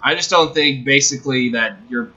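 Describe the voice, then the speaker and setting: Bright-voiced man hosting a casual chat. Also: mid-range voice, normal speed, dull audio. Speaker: host of a gaming video channel.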